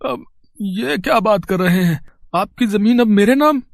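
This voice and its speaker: Man acting a high-voiced, humble poor villager. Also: animated, measured pace, gravelly, no echo, exaggerated voice. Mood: polite, grateful. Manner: polite, hesitant, pleading. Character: humble, earnest poor village food seller. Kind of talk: acted storybook dialogue.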